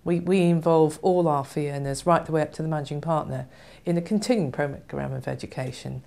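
Low, mellow woman, middle-aged. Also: brisk, vocal fry. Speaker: law firm representative. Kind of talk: interview answer.

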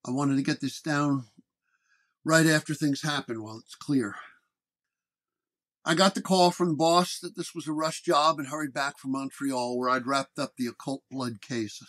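A man's voice: fairly high pitch, steady tone, normal speed, a bit bright, close to an everyday voice. A man playing a world-weary 1930s paranormal detective, dictating case notes in terse, hard-boiled radio narration. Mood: matter-of-fact.

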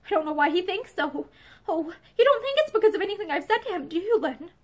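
A woman's voice: very high-pitched, expressive, pitched above the performer's own voice. A woman reading a tearful, pleading young woman. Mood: anxious. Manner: halting, broken by sobs.

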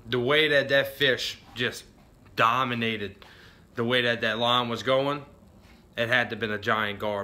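A man's voice: mid-range voice, bright, forceful tone. A young man, talking casually to a phone camera at arm's length in a fishing vlog. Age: young adult. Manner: laid-back, drawling, loose grammar. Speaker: fishing vlogger. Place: in a boat on open water, then an indoor garage. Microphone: phone camera held at arm's length.

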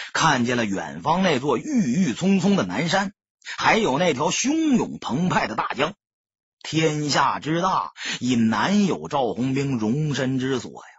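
A man narrating an audiobook in a dramatic, emphatic storyteller's style, with a husky, rough-edged voice. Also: on the high side, no room sound. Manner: expressive, emphatic storytelling delivery. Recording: dull lo-fi audio, dry sound.

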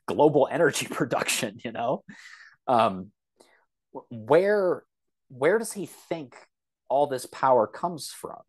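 A man with a medium-pitched voice talks as an interview guest. He is reflective and conversational, pausing mid-thought and adding measured asides as he explains. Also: husky. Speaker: podcast guest, author.

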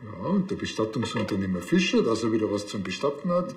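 A man's voice: on the low side, big pitch swings, fast, echoey room, slight background noise. An actor playing a self-absorbed, tactless policeman in a TV crime comedy, speaking casually with a Bavarian accent. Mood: teasing.

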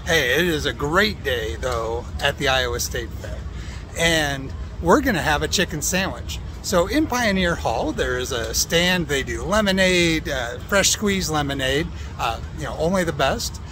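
Higher-voiced American man, casual outdoor vlog. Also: lively intonation, a little slow. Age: middle-aged. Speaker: food review vlogger. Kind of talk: casual food review to camera. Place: outdoors at a fairground. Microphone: phone at arm's length. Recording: outdoor phone recording with ambient fairground noise.

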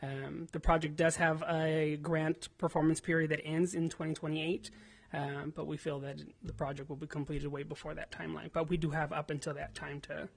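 A city staff member giving a report to the council at a podium, full of ums and restarts. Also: male voice, a little high, flat delivery, normal speed, creaky, breathy edge. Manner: hesitant, many ums and restarts.

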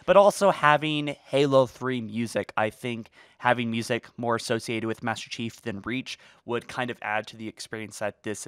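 A man's voice: medium pitch, fairly bright tone, clear, resonant tone, dry recording. A man giving casual voice-over commentary as a video creator, close to the mic. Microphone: close dry voice-over mic.

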